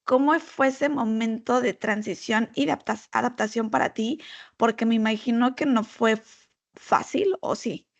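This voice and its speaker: A woman's voice: on the high side, moderate pace, creaky. A woman podcast host asking long, hesitant, rambling questions. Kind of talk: interviewing.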